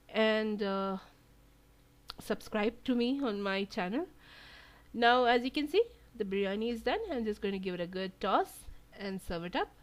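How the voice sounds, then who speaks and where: Chatty woman explaining a recipe. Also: medium pitch. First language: Tamil. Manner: relaxed, chatty, many fillers. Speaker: cooking channel host. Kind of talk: home cooking tutorial voice-over. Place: home kitchen.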